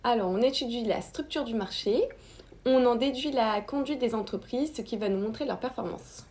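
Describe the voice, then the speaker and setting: A young woman playing a quiz contestant, explaining her answers step by step in a measured tone. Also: fairly high pitch, darkish tone, soft background music. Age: young adult. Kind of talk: reading prepared quiz answers.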